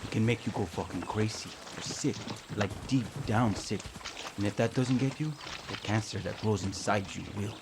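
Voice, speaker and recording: Game role: tough, embittered male guerrilla played by a man, lowish pitch, gruff curt delivery, noisy backdrop. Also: moderate pace, lightly acted. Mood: terse briefing. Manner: gruff, curt, hostile, profane. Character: bitter, grieving, tough male guerrilla fighter. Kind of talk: video game dramatic acting.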